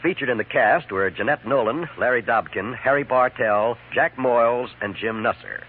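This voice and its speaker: A man's voice: medium pitch, moderate pace, a little hiss or hum. A man announcing for a radio network in a formal, polished 1950s style, reading scripted credits with emphasis. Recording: narrow-band 1950s radio broadcast recording. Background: loud orchestral music.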